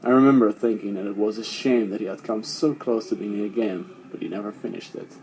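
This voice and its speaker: A man's voice: lowish voice, fairly even intonation, fairly quick, darkish tone, a little hiss or hum, low-quality recording. A man reading a first-person horror story as a scripted narration.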